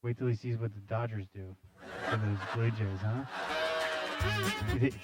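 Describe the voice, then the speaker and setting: A man's voice: on the low side, fairly even intonation, unhurried. A man hosting a casual comedic news show, reading headlines aloud and riffing on them with sarcastic, mock-serious repetition. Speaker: host of a live news commentary show. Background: music playing behind the voice.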